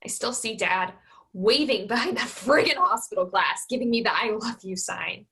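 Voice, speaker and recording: A woman's voice: medium pitch, moderate pace, vocal fry, fairly bright tone. A woman playing a frustrated, resentful grown daughter in a staged reading of a play. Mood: hurt.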